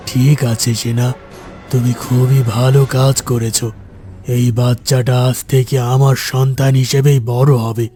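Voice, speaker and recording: A man voicing a sorrowful, kindly king in a cartoon folk tale, slow and solemn. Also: mid-range voice, dark tone, natural voice. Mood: tender, solemn.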